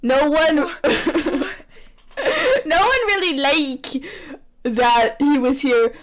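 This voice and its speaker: A woman with a very high voice reads fan fiction aloud as casual comedy. Her delivery is animated and teasing, mixed with chatty banter. The microphone sounds rough and low in quality. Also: drawn-out words. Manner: animated, teasing, breaks into laughter. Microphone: poor-quality microphone.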